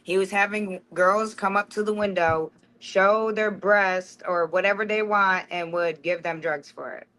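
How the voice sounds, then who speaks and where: A woman's voice: medium pitch, steady tone, a little slow, hardly any pauses, a bit bright, poor audio. A young woman telling stories as an interview guest in a candid, rambling, slangy conversational way, saying "like" often. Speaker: interview guest, former prison inmate.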